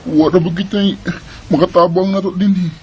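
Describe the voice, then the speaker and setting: A fairly high male voice from an actor as a caring elder brother in a Bisaya radio drama. He is a humble market porter, earnest and reassuring. Faint noise sits behind him. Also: steady tone, moderate pace, a little mellow, lightly acted, low-quality recording. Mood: concerned.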